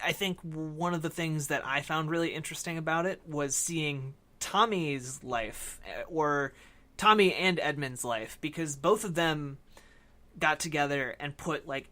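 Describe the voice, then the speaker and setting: A man co-hosting a casual film discussion podcast, talking in a halting, discursive way that often hedges. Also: on the high side, sharp tone. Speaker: podcast co-host.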